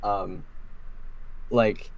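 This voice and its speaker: A man chatting casually on a podcast, excitable and rambling, laughing often as he talks. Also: on the low side, very slow, vocal fry, a crowd in the background, low-quality recording. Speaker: podcast co-host, voice actor. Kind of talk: casual chat.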